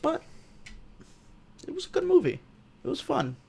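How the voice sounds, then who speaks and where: A man's voice: very high-pitched, huge pitch swings, moderate pace, vocal fry. A man co-hosting a casual film review, laid-back and agreeable in his talk. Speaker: co-host.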